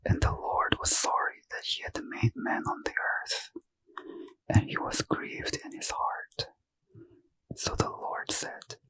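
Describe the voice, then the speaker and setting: Male ASMR reader, bedtime scripture in a slow, airy whisper with a sharp, bright edge. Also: low-quality recording. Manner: slow, soft unvoiced whisper.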